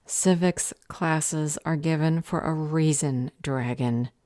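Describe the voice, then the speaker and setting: A woman playing a stern, bored council official in a fantasy audio drama, deadpan, clipped and sarcastic. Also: deep voice, takes it slowly, no room sound, studio quality. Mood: sarcastic, curt.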